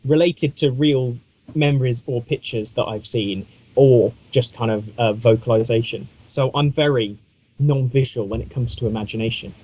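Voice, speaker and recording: A man with a slightly husky voice and average pitch co-hosts a casual podcast. He talks in a wry, discursive, self-mocking way. The recording is a little dull and lo-fi. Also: normal speed, warm tone. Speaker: podcast co-host.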